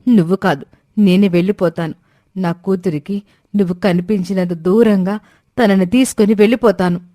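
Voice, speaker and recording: A woman's voice: medium pitch, fairly even intonation, moderate pace, warm tone, no echo, clean studio sound, natural voice. A woman playing a possessive, anguished young mother in a family drama story, speaking with emotional, stubborn insistence. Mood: hurt, insistent.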